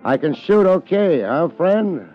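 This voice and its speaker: World-weary American private eye, deadpan wisecracks, swinging intonation, dull old radio sound with music. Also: male voice, fairly high pitch, slow pace, full character voice. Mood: dry banter. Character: wry, world-weary male private detective. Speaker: lead radio actor and narrator. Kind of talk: 1950s hardboiled detective radio drama. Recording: dull 1950s radio recording, music behind.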